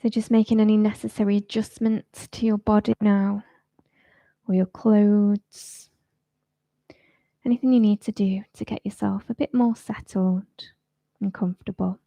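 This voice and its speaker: A woman teaching yoga nidra, leading a guided relaxation in a soft, soothing, informal way. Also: medium pitch, flat delivery, unhurried, gravelly, dry recording.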